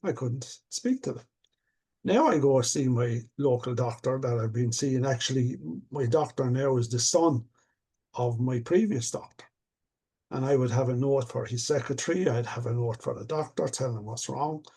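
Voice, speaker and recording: An older man speaks with a gravelly, husky voice. His tone is dark and mellow. He talks about things in an earnest, reflective, measured way, the way a coach does. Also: mid-range voice. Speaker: stuttering coach. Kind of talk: casual conversation.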